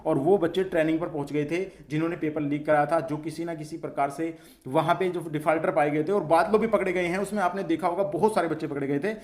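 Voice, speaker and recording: A man's voice: fairly high pitch, steady tone, fast, a little mellow. A man explains an update to students as a channel host, repeating his points as he goes. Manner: repetitive, question-and-answer explaining.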